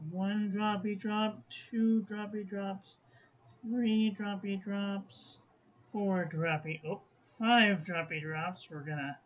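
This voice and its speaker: A man chatting casually while swatching ink at his desk, phlegmy and clearing his throat, with self-mocking asides. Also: high voice. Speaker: ink reviewer. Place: small room at a desk. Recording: dull lo-fi audio with faint room noise.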